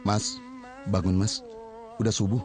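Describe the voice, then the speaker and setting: A man playing a reserved, quietly kind mosque-goer in an audio drama, speaking politely and softly with a husky voice. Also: lowish voice, huge pitch swings, unhurried, background noise. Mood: calm, polite.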